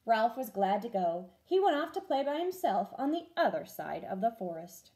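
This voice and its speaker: A woman teacher reads a children's story aloud. Her voice is fairly high and mellow, and her intonation is very animated and expressive throughout. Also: moderate pace.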